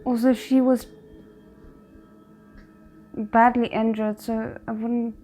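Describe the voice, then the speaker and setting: Female reaction host, fairly high voice, exclaims and thinks aloud casually, light music underneath. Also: little pitch movement, takes it slowly, warm tone. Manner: exclaims and muses aloud in short remarks. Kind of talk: casual reaction commentary on a drama episode.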